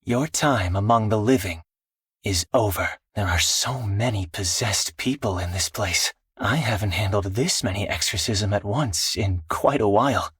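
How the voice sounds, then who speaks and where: A man voicing an earnest, dutiful teenage exorcist swordsman in a video game, speaking solemnly and politely in measured phrases. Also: on the low side, a bit bright, husky, no echo. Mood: resolute. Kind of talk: scripted video game character voice lines.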